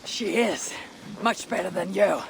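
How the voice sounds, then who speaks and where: A suspicious female Viking raider in a video game cutscene. Her voice is husky and gravelly at a medium pitch. She speaks bluntly and guardedly over faint outdoor noise. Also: measured pace, rough recording. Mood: curt, grudging.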